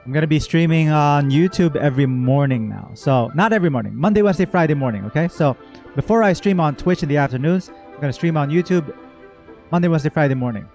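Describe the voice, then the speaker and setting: A man streaming casual game reaction commentary, expressive and joking, talking back to the characters. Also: on the high side, fast. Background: game music.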